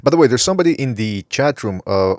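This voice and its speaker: A man's voice: medium pitch, animated, fast talker, a little mellow, no room sound, clean studio sound. A man hosting a casual podcast, rambling as he reads viewer chat comments aloud. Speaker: podcast host. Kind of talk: casual talk show chat.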